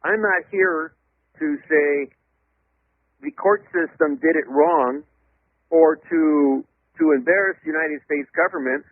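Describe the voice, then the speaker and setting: Man, interview guest, didactic and emphatic, fairly high voice, loud, muffled radio recording. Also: takes it slowly, long pauses, no room sound. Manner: emphatic, didactic, spells out words letter by letter. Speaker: interview guest, legal theorist. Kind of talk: explanatory radio interview monologue. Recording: dull, lo-fi radio or phone sound.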